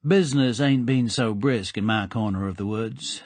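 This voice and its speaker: A man's voice: on the low side, normal speed, darkish tone, dry recording, close to an everyday voice. A man voicing a blunt, indifferent female gang boss. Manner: indifferent, drawling.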